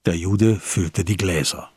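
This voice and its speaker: A man narrates a literary crime play in a deep, very rough and husky voice. The sound is close and dry. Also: steady tone, takes it slowly, darkish tone, no echo. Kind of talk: scripted literary narration in a radio drama.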